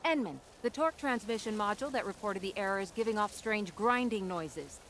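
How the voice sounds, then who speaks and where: An actress playing a puzzled, careful technician in a video game. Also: female voice, mid-range voice, expressive, fairly quick, fairly bright tone, a little hiss or hum, close to an everyday voice, rough recording. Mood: puzzled, cautious. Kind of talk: scripted video game dialogue.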